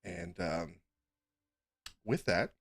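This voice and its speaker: A man's voice: sits low, drawn-out words, fairly bright tone, no room sound, studio quality. A man acting as game master, casually narrating a tabletop game and talking with the players.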